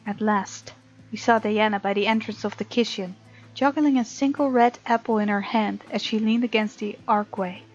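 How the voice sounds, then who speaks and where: A woman's voice: medium pitch, fairly even intonation, moderate pace. A woman hosting a let's play, reading game text aloud and chatting casually as she reacts to the story. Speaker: gaming video host. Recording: muffled lo-fi mic over game music. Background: game music.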